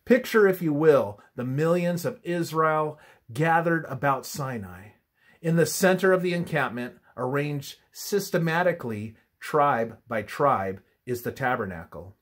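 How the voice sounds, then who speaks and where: Husky man reading religious narration. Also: a little high, lively intonation, measured pace. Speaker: reader of a religious study.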